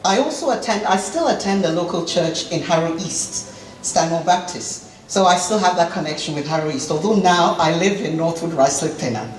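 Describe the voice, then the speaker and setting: A woman's voice: fairly low pitch, animated, moderate pace, soft background music. Middle-aged woman candidate, earnest and passionate, hall echo, mic far off. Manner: earnest, passionate, repeats herself. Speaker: election candidate. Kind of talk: campaign speech at a debate. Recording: roomy hall, distant mic, faint noise.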